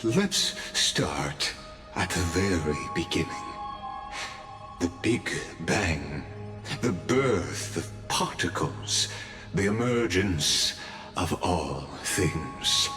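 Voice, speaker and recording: A man playing a theatrical, smug and playful scholar-narrator in a video game, speaking grandly with teasing, deliberate pauses. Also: low-pitched, animated, full character voice. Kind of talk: dramatic video game monologue. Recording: roomy reverb over music.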